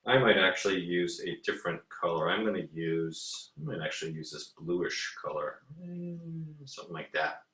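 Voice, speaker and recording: A man's voice: sits low, lively intonation, moderate pace, hardly any pauses, fairly bright tone. A man teaching a casual drawing tutorial as an art teacher, easygoing and hesitant, thinking aloud as he works. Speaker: art teacher giving an assignment demo. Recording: roomy, echoey, distant mic.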